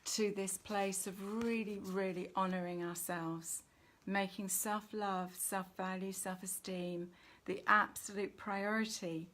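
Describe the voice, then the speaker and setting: Woman, spiritual reader, low energy, fairly even intonation, unhurried and deliberate, very slow delivery. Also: a little hiss or hum. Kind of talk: spiritual oracle reading, unscripted monologue.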